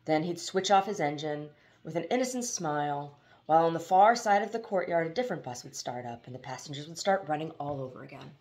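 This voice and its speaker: A woman reading a novel aloud as expressive storybook narration. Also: sits low, a little fast. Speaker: audiobook reader.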